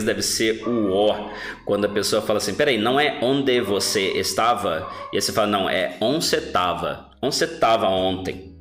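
A man's voice: fairly low pitch, echoey room. A man hosting a casual podcast lesson as an English teacher, chatty and keeps checking in with his listeners.

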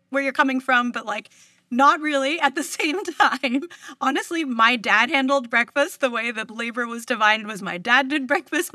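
A woman's voice: on the high side, bright, forceful tone, no room sound, studio quality. A woman in her thirties from New York, a journalist guest chatting casually in an interview, laughing often between her words. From New York, US East Coast. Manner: chatty, laughs often mid-sentence, many fillers. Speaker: public radio journalist, guest.

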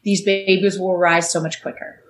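A woman's voice: fairly low pitch, level tone, moderate pace, a little hiss or hum. A woman speaking passionately as a podcast guest, emphatic and building her points through repetition. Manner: emphatic, uses repetition for effect. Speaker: podcast guest, educator speaking on staff wellbeing. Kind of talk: passionate conversational interview talk.

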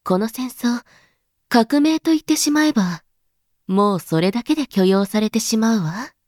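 A woman's voice: on the high side, animated, moderate pace, a little mellow, no room sound, studio quality. A woman playing a scheming, amused member of the elite in a voice drama, speaking in a haughty, teasing, knowing manner. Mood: sly, mocking. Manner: teasing, haughty, feminine speech with knowing asides. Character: scheming, amused elite woman who secretly engineered a revolution. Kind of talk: voice drama monologue.

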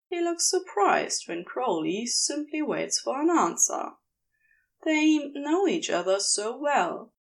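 Casting: female fiction narrator, higher-pitched, unhurried, with some creak in the voice. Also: rough recording. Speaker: podfic narrator.

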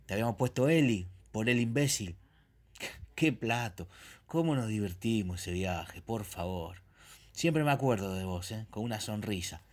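A man's voice: fairly low pitch, lively intonation, unhurried, darkish tone. A man playing a crude, jovial middle-aged old school buddy in a comic radio play, effusive and repetitive, with an Argentine accent. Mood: eager, jovial. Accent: Argentine, Rioplatense. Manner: repeats himself, effusive.

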